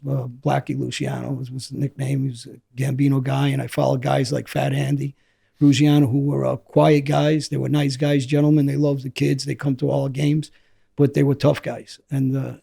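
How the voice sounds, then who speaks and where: A middle-aged man with a hoarse, gravelly voice, telling his story as an interview guest in a rambling way that often restarts. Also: medium pitch, flat delivery, moderate pace, warm tone. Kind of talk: candid storytelling.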